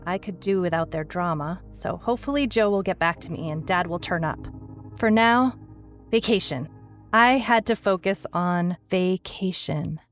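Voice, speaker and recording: Woman acting as a dry sardonic lady private eye, lowish voice, telling her case story with music behind. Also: normal speed, natural voice. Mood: wry. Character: wry, skeptical private detective woman on vacation. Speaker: narrator and lead character of an audio mystery serial. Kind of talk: first-person scripted detective story narration.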